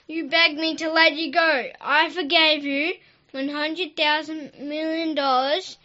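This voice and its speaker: A woman's voice: sits high, sharp tone, highs cut off, heavily acted. A woman playing a stern king in a children's Bible story, with emphatic delivery. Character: stern king passing judgment on servant.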